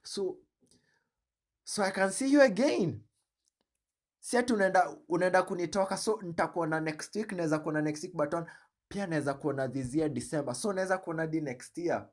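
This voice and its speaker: A man with a Kenyan accent hosting a reaction video, commenting in an animated, joking way and switching between slang and English. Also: on the high side, brisk. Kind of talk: commentary on a played clip.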